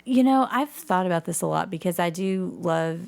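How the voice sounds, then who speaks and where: A woman's voice: on the low side, animated, moderate pace, creaky, darkish tone. A woman chatting casually as a guest in a podcast interview, candid and self-deprecating about herself. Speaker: podcast guest, community theatre actress.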